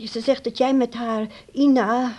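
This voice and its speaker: Radio play, female actor as anxious carer, raised pitch, mellow timbre, uneasy, tentative phrasing. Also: steady tone, a little slow, close to an everyday voice. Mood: uneasy, careful. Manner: hesitant, careful, uneasy. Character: anxious, dutiful grown daughter caring for old father.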